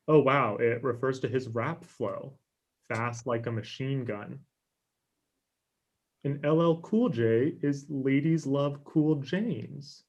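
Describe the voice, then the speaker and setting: A man's voice: medium pitch, slow, a little mellow. A young man acting an earnest, geeky character musing to himself in a script read on a dull video-call line. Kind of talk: acted pilot table read.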